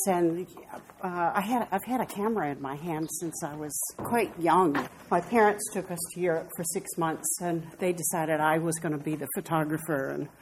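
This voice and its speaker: Chatty American woman, lower voice. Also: a little mellow, a little hiss or hum, rough recording. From United States. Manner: chatty, meandering, laughs easily. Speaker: guest, walking artist. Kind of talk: casual walking interview. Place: outdoors, city streets.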